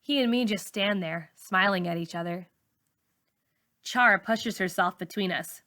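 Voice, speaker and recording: A woman reads a young people's story aloud like a teacher to a class. Her voice is bright and forceful, at an average pitch. The delivery is expressive and deliberate.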